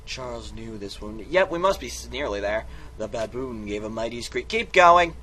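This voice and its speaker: A man's voice: mid-range voice, animated, normal speed, sharp tone. A man giving a mock-dramatic storybook reading of a text game, often breaking into casual, self-interrupting asides. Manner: theatrical, comically self-interrupting. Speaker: reader and player of a text game.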